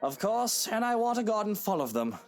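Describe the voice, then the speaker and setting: A flippant, demanding rich man who owns an estate. His delivery swings lively up and down as he orders people around with teasing bluntness, over background music. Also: sits high, brisk. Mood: demanding, restless. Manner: brash, teasing, commanding. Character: bossy, wealthy male estate owner, flippant and demanding. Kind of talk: video game voice acting.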